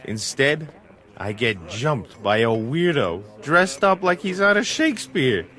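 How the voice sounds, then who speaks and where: A man's voice: medium pitch, big pitch swings, a little slow, pushed and bright. A man acting as a hapless, hard-drinking small-time crook in an audio drama, nervous, wheedling and self-pitying.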